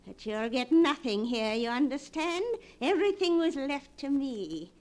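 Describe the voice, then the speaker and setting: An actress playing a bitter older aunt who claims to speak with the dead, in a cold, hushed, ominous way, in a 1940s radio drama. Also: female voice, high-pitched, lively intonation, a little slow. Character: bitter old aunt who talks to ghosts. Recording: old radio broadcast recording.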